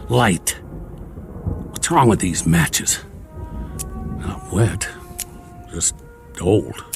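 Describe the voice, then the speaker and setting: An actor playing a gruff, resourceful, lonely war survivor, muttering to himself in a wry, folksy radio drama manner. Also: male voice, low-pitched, heavily acted. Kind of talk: dramatic radio play acting, soliloquy.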